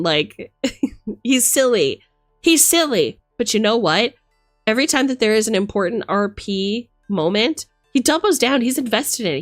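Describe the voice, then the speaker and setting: A woman's voice: mid-range voice, no echo. A woman streamer and game master delivering a casual, opinionated rant, emphatic, theatrical and sweary, close on the microphone. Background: music.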